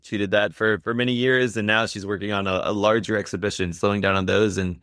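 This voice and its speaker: A man's voice: fairly low pitch, fairly even intonation, fast, fairly bright tone, no echo, clean studio sound. A man hosting a podcast in a casual, conversational style. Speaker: podcast host.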